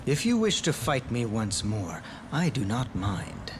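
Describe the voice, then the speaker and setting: A man playing a cold, brooding shadow knight in a fantasy game, with grim, measured delivery. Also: fairly low pitch, noisy background. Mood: defiant. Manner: deadpan threats, grim, measured. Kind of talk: dramatic fantasy game acting. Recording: game scene under loud music.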